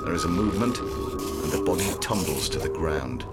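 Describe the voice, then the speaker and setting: A man's voice: deep voice, animated, normal speed. A man narrating a scripted dramatic story. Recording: loud music under the voice.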